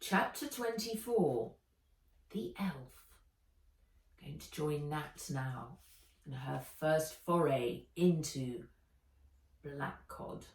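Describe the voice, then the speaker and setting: A woman narrates a children's book as its author. Her reading is deliberate and very animated in pitch, at a slow pace. The sound is echoey, as if a little way from the microphone. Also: gravelly. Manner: expressive, deliberate storytelling. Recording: roomy echo, distant mic.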